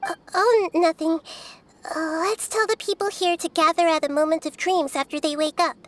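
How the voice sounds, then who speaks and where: A woman voicing a small, wise and gentle young goddess in a video game, speaking in a measured, articulate way. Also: very high-pitched, steady tone, a little hiss or hum. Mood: flustered.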